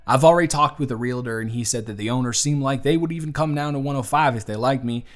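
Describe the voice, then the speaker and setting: A man's voice: medium pitch, fast, lightly acted. A man with a Southern US accent playing an earnest, charming young bartender in love in an intimate audio roleplay. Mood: eager, persuasive. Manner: earnest, conversational, sweet-talking. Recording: music playing under the voice. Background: acoustic music.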